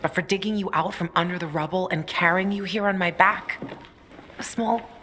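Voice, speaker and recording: A woman's voice: lowish voice, normal speed, fairly bright tone, natural voice. A woman playing a tough, loyal young fighter in a video game cutscene, blunt and wry but caring. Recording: noisy ambience behind the voice.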